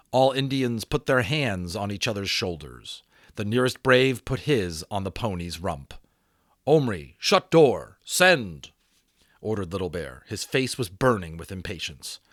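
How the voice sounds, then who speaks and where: Expressive low-voiced male storyteller. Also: dry recording. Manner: expressive with theatrical pauses. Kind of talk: dramatic audiobook reading. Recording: dry close recording.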